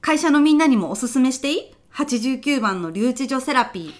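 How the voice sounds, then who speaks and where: High-pitched, bossy, fretful office senior played by a woman. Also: lively intonation, brisk. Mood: relieved. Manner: blunt, pushy, rough-mouthed when challenged. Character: bossy, fretful female senior office worker with petty worries. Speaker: voice actor in a comedy radio drama. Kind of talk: short comedic radio drama.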